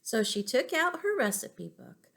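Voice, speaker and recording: A woman's voice: fairly high pitch, big pitch swings, measured pace, vocal fry, a bit bright. A woman teacher reading stories aloud to young children, stopping for questions and asides to her listeners.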